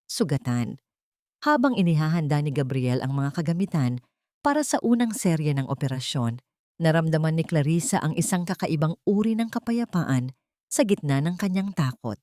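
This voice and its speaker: A woman's voice: low voice, warm tone, no echo, studio quality. A woman delivering scripted, dramatic narration as the storyteller of a romantic melodrama.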